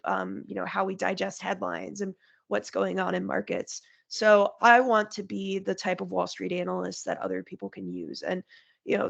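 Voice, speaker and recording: Woman, market strategist guest, nasal from a cold, heavy vocal fry, clear and conversational. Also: mid-range voice. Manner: explains in clear plain terms, lists points. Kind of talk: conversational podcast interview.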